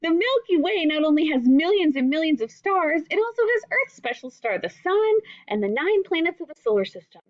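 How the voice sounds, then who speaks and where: A woman's voice: sits high, fairly quick, hardly any pauses, no room sound, lo-fi sound. A woman reading a children's book aloud expressively, with simple explanatory asides in the manner of a teacher. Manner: expressive, simple phrasing aimed at young children.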